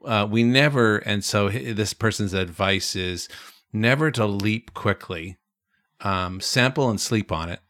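A man co-hosting a podcast, talking in a thoughtful, reflective way with frequent pauses and restarts. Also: lowish voice, a bit bright, no echo, clean studio sound. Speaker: podcast co-host. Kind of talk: casual reflective chat.

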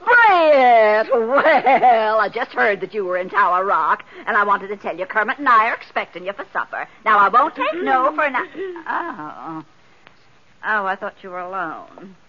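A woman playing a brash, rivalrous small-town wife in a 1950s radio western, folksy, with a sharp, accusing way of talking. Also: medium pitch, sing-song, a little slow, a little hiss or hum. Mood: brash, insistent. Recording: dull 1950s radio recording.

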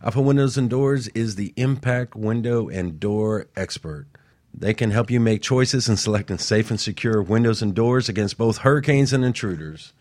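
A man's voice: fairly low pitch, moderate pace, darkish tone. A man co-hosting a talk show in a casual, motivational conversational style.

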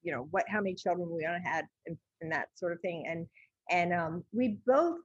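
A woman's voice: on the low side, breathy edge, no echo, highs cut off. A middle-aged American woman recalling family life as a podcast guest, hesitant and often backtracking. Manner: hesitant, backtracking, many pauses. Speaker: podcast guest, adoptive mother. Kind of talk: personal recollection in conversation.

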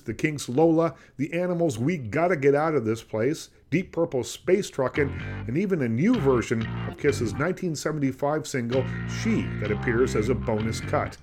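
A man hosting an interview, reading a smooth scripted introduction and then asking conversational questions. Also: mid-range voice, a little mellow. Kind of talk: radio interview.

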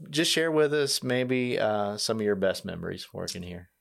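A man's voice: on the low side, expressive, normal speed, vocal fry. A man interviewing a colleague in a conversational style, asking questions and adding short remarks.

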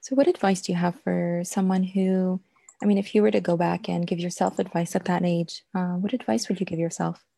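A woman's voice: fairly low pitch, flat delivery, fairly quick, vocal fry, dark tone, dry recording. A woman interviewing a guest, asking probing questions with hesitant ums along the way. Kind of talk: casual interview.

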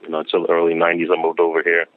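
A man from Denmark, a producer and DJ, chatting casually in an interview, relaxed and full of fillers and repeated words. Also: low-pitched, very fast, no echo. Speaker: music producer and DJ guest. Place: lives in Queens. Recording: tinny phone-like line.

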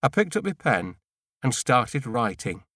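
A man narrates a literary audiobook in a medium-pitched voice. His delivery is measured and deliberate, phrase by phrase, in a very dry recording with no room sound. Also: big pitch swings, sharp tone, no room sound. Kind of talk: scripted literary narration in the first person.

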